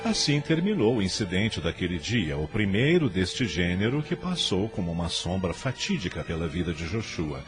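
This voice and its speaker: A man's voice: deep voice, very animated, normal speed, few pauses. A man narrating a scripted religious radio drama in a solemn, literary storytelling manner. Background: soft music.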